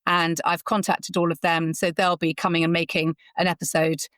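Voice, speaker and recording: A woman's voice: fairly low pitch, little pitch movement, moderate pace, fairly bright tone, dry recording, clean studio sound. A woman speaking as an interview guest in an articulate, enthusiastic, conversational way. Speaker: interview guest, founder of an audio training platform.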